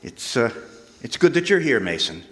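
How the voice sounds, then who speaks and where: An actor playing an anxious, earnest hospital administrator in a radio drama, halting and stammering as he speaks. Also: medium pitch, big pitch swings, over loud music, lightly acted. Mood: earnest, relieved. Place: live stage performance in a hall. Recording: echoey live hall.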